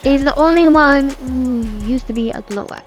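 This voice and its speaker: A woman's voice: sits high, normal speed, soft background music, natural voice. A woman playing a talkative young woman who rambles as she tries to reassure and persuade a friend. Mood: reassuring.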